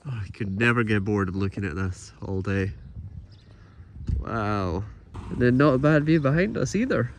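A man's voice: lowish voice, expressive, normal speed, slight background noise. Enthusiastic man vlogging a hike. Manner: enthusiastic, marvels at scenery. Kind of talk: casual travel vlog narration. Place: outdoors on hills and in a cafe. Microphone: handheld camera at arm's length.

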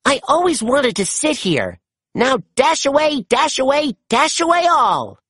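A storybook reader voicing an eager young rescue pup in an animated way. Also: high voice, unhurried, no room sound, studio quality, pitched above the performer's own voice, exaggerated voice. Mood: playful. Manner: animated, exclaiming. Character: eager young rescue pups, high and excitable. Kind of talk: children's storybook character reading.

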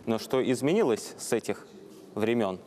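A man's voice: mid-range voice, lively intonation, normal speed, dark tone. A man in his thirties presenting as co-host of a studio talk show, putting questions to guests and audience. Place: TV studio, standing.

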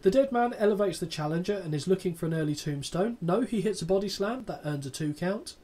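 A man's voice: sits high, lively intonation, fast talker, a little mellow. A man in his thirties co-hosting a wrestling podcast, reading a match recap aloud with dry, sarcastic asides and casual swearing. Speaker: podcast co-host.

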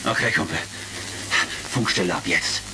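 An actor playing a casual, wry space hero commander in a retro sci-fi radio play, talking in short informal lines. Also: male voice, extremely low pitch, lively intonation, normal speed. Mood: focused. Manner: informal, clipped, calls partner buddy. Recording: crackly vintage radio-play record. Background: music.

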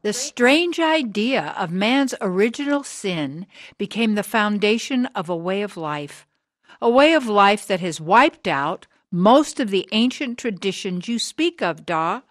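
An actress as a hard, driven woman of sixty in a radio drama. She delivers her indictment slowly, with weighty rhetorical pauses. The sound is close and dry. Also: medium pitch, animated, no echo, lightly acted. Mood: grave. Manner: deliberate, rhetorical, emphatic pauses. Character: hard, driven sixty-year-old woman, bitter anti-church preacher.

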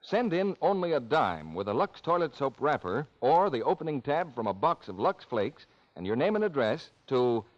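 Male old-time radio announcer, lively intonation, scripted sales delivery. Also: fairly high pitch, highs cut off.

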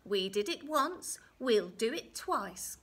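Woman putting on a croaky, demanding little old man. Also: fairly high pitch, animated, takes it slowly, heavily acted. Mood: chanting. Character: strange little wrinkled magical old man, demanding bargainer.

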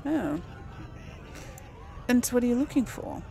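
A woman's voice: a little high, big pitch swings, slow, dark tone, soft background music. A woman hosting a casual game playthrough, chatty and hesitant as she thinks aloud. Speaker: gaming video host. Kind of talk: casual let's play commentary.

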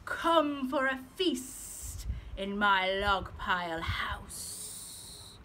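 A woman voicing a slithery, hungry snake in a storybook reading, every word stretched out slowly. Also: medium pitch, expressive, heavily acted. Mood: sly. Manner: very slow, stretched out. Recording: loud background noise.

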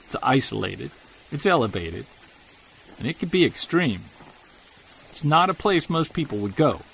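A man playing an earnest, gravelly Bigfoot hunter. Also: mid-range voice, very animated, normal speed, a little hiss or hum, rough recording. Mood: hopeful. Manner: earnest, soft-spoken, confessional. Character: Jared, Bigfoot investigator, earnest, hopeful. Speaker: narrator of audio drama. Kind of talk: audio drama narration.

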